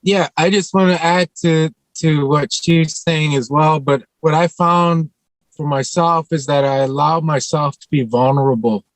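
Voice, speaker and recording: Higher-voiced man, close dry sound, thoughtful. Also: steady tone, a little slow, no echo, poor audio. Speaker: actor on a panel. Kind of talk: earnest panel conversation.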